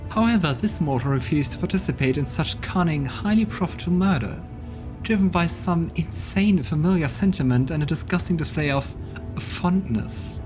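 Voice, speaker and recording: A cruel demon judge passing sentence with theatrical scorn, as a man lifts his voice to play it. The lines ring out loud and declamatory, with game music underneath. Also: a little high, animated, normal speed, pitched above the performer's own voice, natural voice. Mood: scornful. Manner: declamatory, contemptuous.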